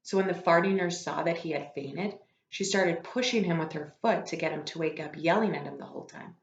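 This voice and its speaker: A woman reading a story aloud like a teacher to students, expressive and informal, with casual asides. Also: lowish voice, fairly quick. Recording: echoey room, slightly dull lo-fi sound.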